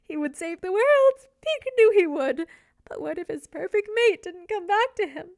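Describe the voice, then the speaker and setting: A woman narrating a scripted romantic story in an expressive, theatrical storytelling manner. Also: very high voice, dry recording, clean studio sound. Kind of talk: scripted dramatic fan fiction narration.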